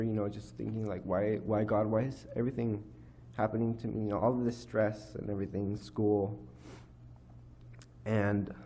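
A teenage boy giving a personal testimony at a church lectern, speaking haltingly with many fillers and restarts. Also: fairly low pitch, gravelly, warm tone, a little hiss or hum. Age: teens. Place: church hall, indoors. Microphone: microphone on a lectern stand.

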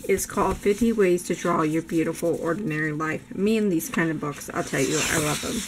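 A woman's voice: deep voice, brisk. An older woman in a casual craft haul vlog, chatty and enthusiastic, losing her voice, filmed over her craft table at home. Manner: chatty, enthusiastic, frequent laughs. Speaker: crafting vlogger. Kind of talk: casual haul show-and-tell. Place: craft room table, indoors. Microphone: camera above a craft table. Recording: noisy home recording.

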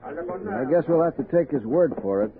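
A man acts an American expedition captain in an old radio drama. He is steady and decisive, with a fairly high, expressive voice. The recording is narrow and noisy. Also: moderate pace, close to an everyday voice. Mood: concerned, decisive. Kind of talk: 1960s radio drama acting.

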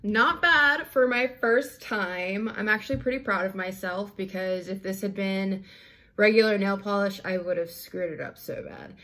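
A woman's voice: medium pitch, normal speed, bright, forceful tone. A young adult woman with an American accent chatting informally to camera in a slangy, conversational way, as a product reviewer in a home room. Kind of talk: casual chat to camera. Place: small home room, indoors.

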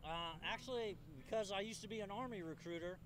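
A man from California giving a casual outdoor interview, friendly and halting with restarts. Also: high voice, a crowd in the background. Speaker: guest interviewee. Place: outdoor park event.

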